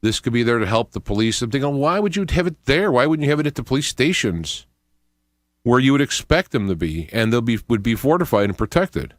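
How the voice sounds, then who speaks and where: A middle-aged to older man hosting a radio show, thinking aloud in a halting, unscripted monologue with repeated words. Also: medium pitch, big pitch swings, no echo, clean studio sound. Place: small indoor home studio. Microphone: close studio microphone with headphones.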